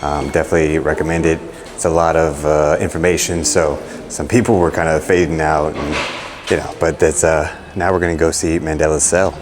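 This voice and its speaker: Very deep-voiced male travel vlogger. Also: level tone, fairly quick. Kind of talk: casual travel vlog narration to camera. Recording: music behind the voice.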